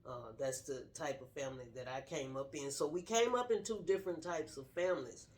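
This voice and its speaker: A woman's voice: deep voice, fairly quick, a little mellow. A middle-aged woman sharing her personal story casually in an earnest, straightforward way. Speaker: guest telling personal story, wife of the host.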